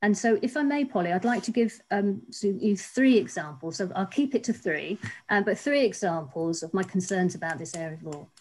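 A middle-pitched woman in her fifties speaks earnestly and deliberately, circling back on her words as she sets out legal concerns. She talks over a laptop webcam on a video call from a small home office. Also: animated, darkish tone, rough recording. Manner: measured, earnest, frequent restarts and fillers. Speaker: legal academic and author. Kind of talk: panel discussion remarks.

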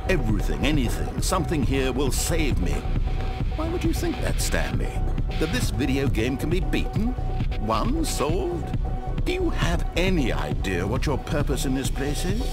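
A man playing an omniscient, sardonic video game narrator, articulate and condescending, toying cruelly with his character. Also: mid-range voice. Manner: theatrical, mocking, condescending, articulate.

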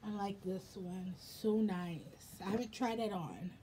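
A young American woman chatting casually for a haul vlog in a rambling, halting way, with a slightly raspy voice. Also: mid-range voice, warm tone, slight background noise. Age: young adult. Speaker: vlogger. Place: indoors in a home room.

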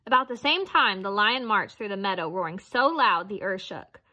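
A woman's voice: mid-range voice, expressive, normal speed, pushed and bright. A woman reading a children's picture book aloud as narrator. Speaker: storybook reader.

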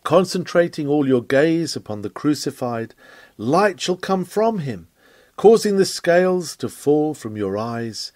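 Male sermon reader, earnest exhorting oratory, lively intonation, dry close sound. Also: medium pitch, no room sound. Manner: earnest, pleading, emphatic oratory.

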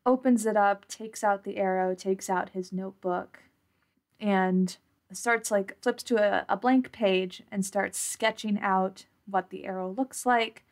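A woman's voice: mid-range voice, fairly even intonation, a little slow. A woman improvising narration as a player in a tabletop role-playing podcast, thinking aloud hesitantly.

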